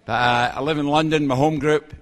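An older man with a Glasgow-area Scottish accent giving a personal testimony to a gathering, halting and plain-spoken with dry humour, speaking into an event microphone. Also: a little high, fairly even intonation. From Scotland. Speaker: guest main speaker.

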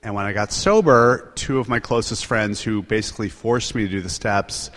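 A man speaking earnestly at a recovery meeting, sharing his story with lively asides. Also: lowish voice, normal speed. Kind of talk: personal share at a meeting.

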